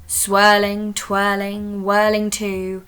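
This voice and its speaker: A woman's voice: medium pitch, monotone, very slow, a bit bright, smooth. A woman voicing eager young schoolgirl witches in a storybook, earnest and sing-song. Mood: eager chanting.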